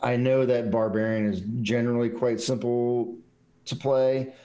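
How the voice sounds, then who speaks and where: A man's voice: mid-range voice, flat delivery, a little slow, mellow. A young man chatting casually as a tabletop game player, hesitant with many fillers and restarts. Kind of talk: casual chat about a tabletop character.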